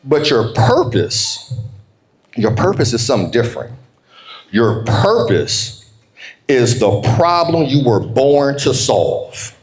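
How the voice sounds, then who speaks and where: Animated American man speaking in an echoey room. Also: mid-range voice. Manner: emphatic, folksy, repeats key phrases slowly. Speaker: motivational keynote speaker. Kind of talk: motivational keynote speech with call-and-response. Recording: roomy, echoing live speech.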